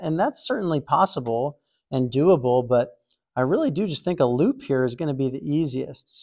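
A man hosting a coding tutorial, talking through a puzzle in a relaxed, thinking-aloud way with frequent fillers and restarts. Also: medium pitch. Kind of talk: casual screen-recorded walkthrough.

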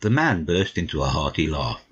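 Deep-voiced, husky male narrator. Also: very animated, moderate pace, a bit bright. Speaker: audiobook narrator. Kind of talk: scripted literary narration. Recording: slightly dull, lo-fi audio.

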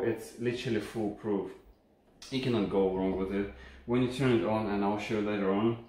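A man's voice: on the low side, a little mellow. A man with a Ukrainian accent hosting a casual, unscripted product review in a home kitchen, explaining things in a friendly way with many hesitations, filmed on a phone camera from a distance. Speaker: channel host reviewing a kitchen appliance. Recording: echoey kitchen with faint hum.

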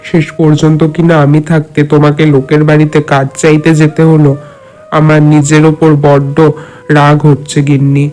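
A man playing a gentle, poor village husband in a cartoon, with an earnest, sorrowful manner. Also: fairly high pitch, flat delivery, moderate pace, close to an everyday voice. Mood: dejected, ashamed. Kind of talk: Bengali moral-tale cartoon dubbing.

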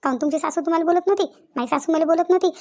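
A woman's voice: very high voice, racing, a little mellow. A woman playing insistent, talkative village women in a comedy cartoon, with a rural Vidarbha Maharashtrian accent and a habit of repeating phrases. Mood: insistent, reasoning. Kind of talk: Marathi comedy cartoon dialogue.

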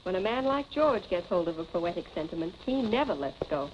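Poised, firm young American wife, vintage film melodrama, muffled hissy old recording. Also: female voice, on the low side, big pitch swings, brisk. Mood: composed. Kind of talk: 1940s film acting. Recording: dull, noisy 1940s film soundtrack.

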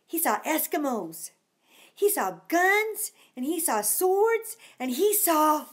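Middle-aged woman, expressive storytime reader, very varied intonation, child-directed asides. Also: sits high. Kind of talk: expressive storybook read-aloud with chatty asides. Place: living room at home.

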